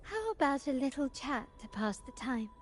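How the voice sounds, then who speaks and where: A woman playing a poised, cultured and enigmatic young lady in a video game, speaking formally and with measured eloquence. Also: high voice, sing-song, over music. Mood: polite, intrigued. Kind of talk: scripted video game dialogue.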